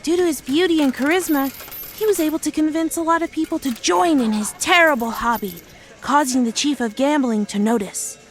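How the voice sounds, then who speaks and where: A woman's voice: sits high, a little fast, lightly acted. A woman voicing a small, mischievous, indignant dwarf trickster creature in an audio drama, protesting in a whiny, theatrical way. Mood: proud, defensive.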